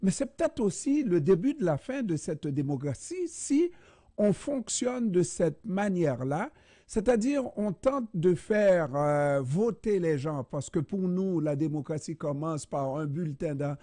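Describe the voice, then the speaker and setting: A man from Haiti hosting a radio interview, speaking slowly and deliberately with frequent hesitations. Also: a little high, animated, warm tone, no room sound, studio quality. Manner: slow, deliberate, many hesitations. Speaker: radio host.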